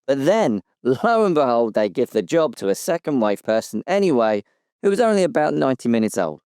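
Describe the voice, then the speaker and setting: A man's voice: mid-range voice, very animated, fairly quick, darkish tone, clean tone, no echo, studio quality. A British man playing a tiny, aggrieved, boastful cartoon-book character in an audio drama interview, chatty and colloquial.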